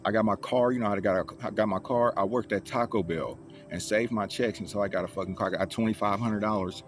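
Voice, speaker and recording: A man's voice: lowish voice, fast talker. A young man telling his life story in a rambling, profane, casual way, with many restarts. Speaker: vlogger. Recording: loud music playing behind the voice.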